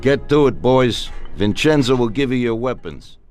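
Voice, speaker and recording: An assured gangster boss voiced by a man in a game cutscene, speaking at an ordinary male pitch. He is measured and authoritative, ruthless yet steady, with faint music underneath. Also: natural voice. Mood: ruthless, commanding. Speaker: mob boss. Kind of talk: video game cutscene acting.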